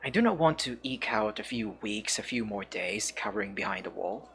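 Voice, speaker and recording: A man's voice: fairly low pitch, expressive, normal speed, fairly bright tone, a little hiss or hum. A man reading the lines of a proud, weary male giant horned warrior in a video game, grim and defiant.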